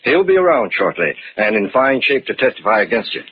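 An actor playing a sceptical, no-nonsense older police inspector in a 1940s radio mystery, curt and authoritative. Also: male voice, medium pitch, sing-song, a little fast, slight background noise, natural voice. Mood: stern. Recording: muffled 1940s radio recording.

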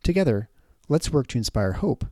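A man's voice: mid-range voice, sing-song, moderate pace, mellow, no echo, clean studio sound. A man reading a scripted podcast outro. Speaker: podcast announcer.

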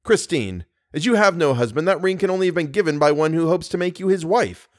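A lovesick young gentleman from a classic novel, as a male reader plays him. He is courteous and anxious, speaking formally with impassioned, widely moving pitch in a dry recording. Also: big pitch swings, brisk, dry recording, lightly acted. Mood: urgent, insistent.

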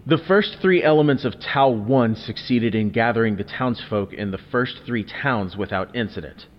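A man's voice: medium pitch, moderate pace, lightly acted. A man playing a formal, clinical researcher reading out a scripted classified incident report in an audio drama. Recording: dull sound with long hall reverb.

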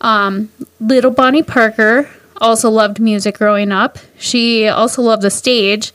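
A woman's voice: a little high, fairly even intonation, unhurried, fairly bright tone, dry recording. A woman co-hosting a podcast, telling a story in a giggly, bubbly casual way. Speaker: podcast co-host.

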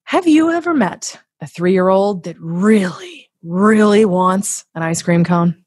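A woman's voice: mid-range voice, expressive, slow pace, darkish tone, husky, no room sound, studio quality. A woman speaking as a podcast guest, giving conversational motivational advice with acted-out examples in an emphatic, blunt, casually sweary manner. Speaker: podcast guest, author and coach.

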